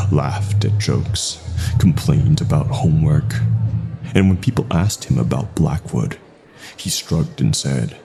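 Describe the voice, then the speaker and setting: A man with an extremely low voice narrates a horror tale at a slow pace. His delivery is dramatic and deliberate, with pauses that let each short phrase land. The tone is gravelly with fry at the edges. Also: warm tone. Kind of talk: scripted horror narration, first person.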